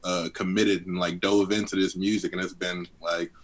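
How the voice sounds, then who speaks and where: Deep-voiced young man from D.C. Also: flat delivery, normal speed. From Southeast Washington, D.C. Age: 20s. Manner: laid-back, heavy on fillers, chuckles often. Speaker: guest rapper. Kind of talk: casual interview chat.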